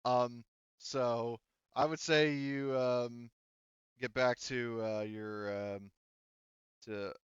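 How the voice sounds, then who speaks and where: A man working as game master of an online tabletop roleplaying game, talking casually and haltingly. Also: medium pitch, steady tone, clear, resonant tone, no echo, muffled recording.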